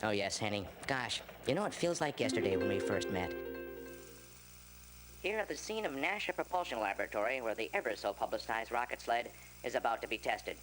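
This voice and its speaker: A man voicing an excitable cartoon rooster news anchor in a dramatic mock broadcast announcer's manner. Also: mid-range voice, a little fast, music in the background, close to an everyday voice. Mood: excited reporting. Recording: noisy game audio from a stream.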